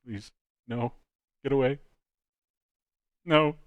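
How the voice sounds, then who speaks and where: A man's voice: medium pitch, very slow, no echo, lo-fi sound. A man hosting a live stream, chatting casually and joking with friends. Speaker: host of a live dubbing stream.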